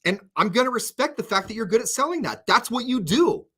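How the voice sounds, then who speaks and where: A man radio host in casual chat with his co-host, animated, often restarting his sentences. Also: very high-pitched, fairly quick, slightly rough.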